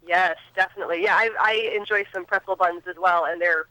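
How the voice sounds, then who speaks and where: A woman interviewer with a bright, crisp-edged voice asks casual questions. She comes through a thin, phone-like remote connection. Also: on the low side, steady tone, a little fast, dry recording.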